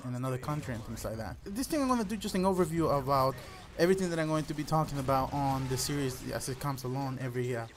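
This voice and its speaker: A young man from El Salvador, a native Spanish speaker with a Salvadoran Spanish accent, hosts a gaming channel, telling personal stories casually and earnestly to his listeners. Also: a little high. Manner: earnest, addresses listeners as "guys". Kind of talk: casual personal storytelling over gameplay commentary.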